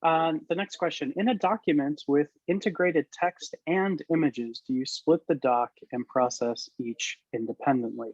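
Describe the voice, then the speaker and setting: A man's voice: on the high side, normal speed, few pauses, a little mellow, no room sound. A man hosting a webinar, relaxing into reading audience questions to the speaker. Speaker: webinar host. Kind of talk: moderating Q&A. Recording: dry, dull lo-fi mic.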